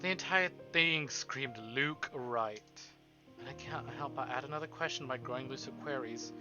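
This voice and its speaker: A man voicing the inner monologue of a weary, wistful high-society wife in a visual novel, formal and introspective. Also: moderate pace, highs cut off, close to an everyday voice. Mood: wistful, reflective. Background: game music.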